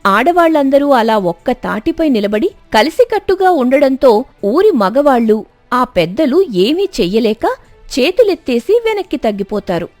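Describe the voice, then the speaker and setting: A woman narrating a scripted moral story dramatically, with expressive, emphatic delivery. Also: high-pitched, no room sound.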